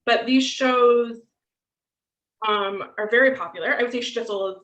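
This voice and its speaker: A woman lecturing over a video call in a bright, forceful voice, chatty and full of "um" and "right?" tags. The audio is dull and roomy, as if she sits back from the mic. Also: on the high side, moderate pace, recorded from a distance, soft background music. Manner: conversational, frequent "um" and "right?" tags. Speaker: guest lecturer. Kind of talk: online lecture with Q&A.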